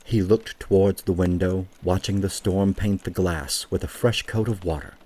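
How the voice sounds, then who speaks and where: A man with a very low, mellow voice narrates a scripted story. His tone is dark and husky, and he tells it in a measured, gentle way, as an audio drama narrator. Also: fairly even intonation.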